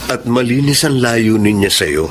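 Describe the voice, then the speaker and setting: A mid-pitched Filipino male voice performed by an actor plays a loyal younger brother. He appeals gently in radio-drama style. Clear ambient noise is heard behind him. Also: lively intonation, measured pace, lightly acted. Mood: sincere, gentle.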